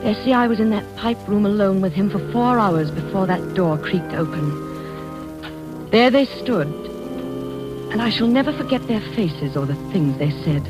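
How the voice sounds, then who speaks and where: A woman's voice: mid-range voice, animated, normal speed, close to an everyday voice. An actress playing a frightened, haunted woman in an old-time radio suspense drama, speaking with dramatic, breathless emotion. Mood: haunted, grave. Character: frightened, haunted governess-like woman, earnest and desperate. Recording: dull old radio recording, music behind.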